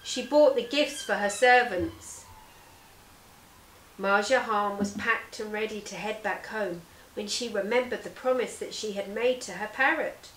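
A woman in her thirties, a teacher reading a children's story aloud with deliberate, theatrical phrasing. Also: mid-range voice, a bit bright, distant mic, a little hiss or hum. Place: living room sofa, indoors. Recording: roomy indoor echo.

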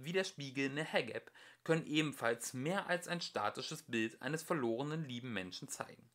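A man's voice: medium pitch, fairly bright tone. A young adult man reading a book of fairy-tale commentary aloud as an amateur audiobook narrator.

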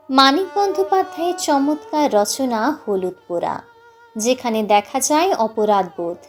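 A woman reading a scripted literary story as an audiobook narrator, with instrumental music behind her. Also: high-pitched. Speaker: channel host and story narrator.

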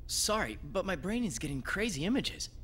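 A woman voicing an earnest, guarded teenage boy space cadet in an animated film dub, often exasperated. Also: fairly low pitch, brisk, slightly rough, heavily acted. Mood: troubled. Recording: reverberant soundtrack with faint music.

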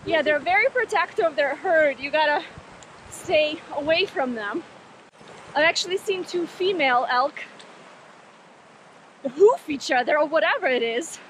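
A woman's voice: high-pitched, animated, measured pace, long pauses, a bit bright, a little hiss or hum, low-quality recording. A woman in her thirties filming a casual family travel vlog outdoors by a river, chatting and laughing easily into a handheld camera. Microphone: handheld action camera at arm's length. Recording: background music.

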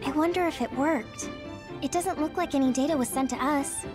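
A woman plays a caring teenage girl soldier in an anime game. Her voice is high and earnest, with a watchful concern, over loud music. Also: brisk, lightly acted. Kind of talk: English-dubbed anime game acting.

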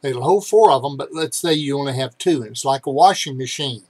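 A man's voice: mid-range voice, brisk, no echo. An older man speaks as a vlog host, explaining things casually to the camera. The sound is dry, with little room around his voice. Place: cluttered indoor room.

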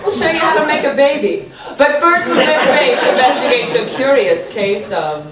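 A woman acting a sultry, vain news anchor in a stage parody. Her polished, slightly high voice comes from off-mic in an echoey theatre. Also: expressive, moderate pace, a loud crowd. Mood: poised, teasing. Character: sultry, self-absorbed female TV news anchor model. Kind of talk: live sketch comedy parody of TV news. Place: small theatre stage before an audience. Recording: echoey, distant stage recording, loud music.

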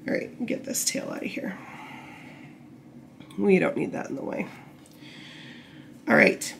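A woman getting over a cold, a bit croaky, patiently explaining knitting steps while working with her hands. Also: medium pitch, lively intonation, unhurried, a bit bright, a little hiss or hum. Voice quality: slightly gravelly. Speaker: knitting tutorial host. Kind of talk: casual step-by-step instruction. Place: indoor table, camera over hands.

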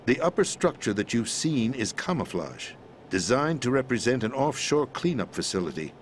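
An actor playing a middle-aged president in a video game, explaining a conspiracy in a measured, grave manner. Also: male voice, on the low side, slight background noise, close to an everyday voice. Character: calm, grave middle-aged man, president briefing via codec. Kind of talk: video game cutscene voice acting.

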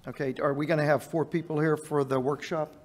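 A man presiding over a city council meeting, calling for motions and confirming dates in a brisk, procedural way. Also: on the high side, flat delivery. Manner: brisk, procedural, checks agreement. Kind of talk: chairing a public meeting. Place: council chamber.

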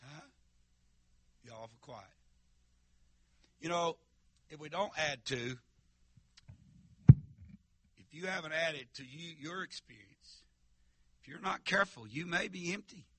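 A man preaching a fiery holiness sermon, with stammering restarts and shouts to the congregation. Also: on the high side, lively intonation, unhurried, long pauses, breathy edge. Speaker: pastor. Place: church sanctuary.

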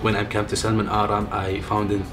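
A man in his thirties from Syria with a Syrian Arabic accent, speaking Arabic-inflected halting English in a living room interview, telling his story softly with many pauses. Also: on the low side, monotone, over music. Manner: halting non-native English, soft-spoken, many pauses. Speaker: refugee sharing his story. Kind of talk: personal testimony interview. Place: living room, seated on a couch. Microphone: off-camera mic, medium framing.

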